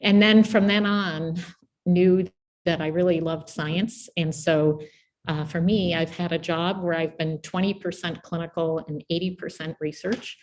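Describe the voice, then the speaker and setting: A soft-spoken middle-aged woman with a quiet voice, giving a friendly, informal public science talk as guest speaker. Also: lowish voice, steady tone, measured pace.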